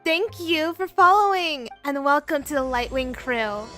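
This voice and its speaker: High, bright young woman streamer. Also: lively intonation, unhurried, faint music behind. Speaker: livestreamer. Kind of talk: casual livestream chat.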